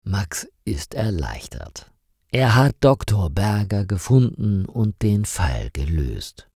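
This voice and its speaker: Slow, lively male story narrator. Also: lowish voice, dry recording, clean studio sound. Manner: slow, expressive storytelling with dramatic rises. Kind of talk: scripted story narration for German learners.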